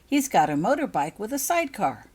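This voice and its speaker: A woman's voice: mid-range voice, big pitch swings, fairly quick, a little mellow, slightly rough. A woman voicing an eager, helpful young schoolgirl in a storybook, earnest in manner. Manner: piping up, earnest. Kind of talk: storybook character voice.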